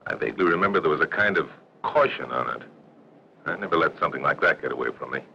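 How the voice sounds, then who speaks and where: An actor playing a harried Air Force sergeant, explaining himself defensively in a 1960s training film. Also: male voice, extremely low pitch, expressive, fast talker, creaky, heavily acted. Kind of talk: scripted first-person reenactment in 1960s training film. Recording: dull, noisy 1960s film soundtrack.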